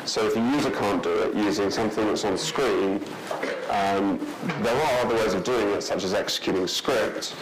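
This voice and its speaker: Low-voiced man fielding questions, hesitant. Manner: hesitant, many ums and restarts. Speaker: conference speaker. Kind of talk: answering audience questions. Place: large lecture hall. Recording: noisy hall recording.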